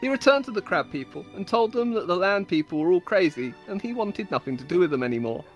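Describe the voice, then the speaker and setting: Woman reading silly fantasy stories out loud, mock serious, really swoopy voice, bit of music behind. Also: on the low side, lively intonation, fast. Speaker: storyteller reading own stories. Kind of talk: comedic dramatic reading of scripted tales.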